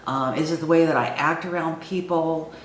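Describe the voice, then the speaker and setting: Low-voiced woman of sixty-five, echoey room. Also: fairly even intonation, normal speed. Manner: informal, hesitant, thinking aloud. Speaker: online video host. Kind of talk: reflective personal talk to camera. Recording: echoey room with faint background noise.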